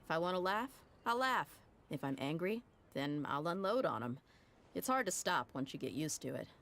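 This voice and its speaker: A woman's voice: low-pitched, very animated, moderate pace, fairly bright tone, full character voice. A woman voicing a brash, outspoken woman mercenary captain in a video game. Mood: frank. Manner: blunt, outspoken.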